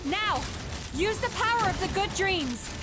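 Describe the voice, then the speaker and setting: A woman's voice: very high-pitched, expressive, measured pace, loud music behind the voice. A woman voicing tiny cheerful dream creatures in a game, chirping bouncy exclamations. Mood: eager. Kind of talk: game voice acting.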